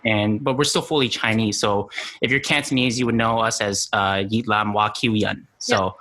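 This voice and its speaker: A man's voice: fairly low pitch, steady tone, normal speed, a bit bright. A young man from New Mexico, a guest on a podcast interview, talking casually with lots of "you know" and easy laughs. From New Mexico, USA. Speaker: guest, content creator.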